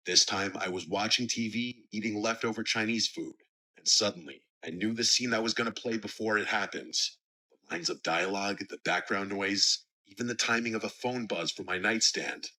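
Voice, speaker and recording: An American man narrating scripted horror stories in a measured, serious storytelling manner. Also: lowish voice, sharp tone, rough recording. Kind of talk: scripted narration of scary first-person stories.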